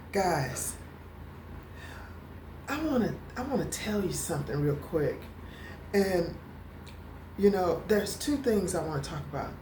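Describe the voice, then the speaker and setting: Woman, stuttering, unhurried, faint ambience, roomy echo. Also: fairly low pitch, darkish tone, slight background noise. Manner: slow, halting, stuttering speech with many fillers; emotional, near tears at times. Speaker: vlogger. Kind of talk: personal talking-head vlog.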